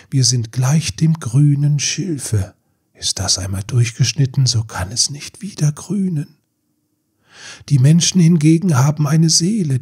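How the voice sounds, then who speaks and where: A man voicing a wise old mermaid grandmother in a storybook, solemn and gentle, with a breathy, half-whispered voice. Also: mid-range voice, voice pushed up. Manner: slow, solemn explaining.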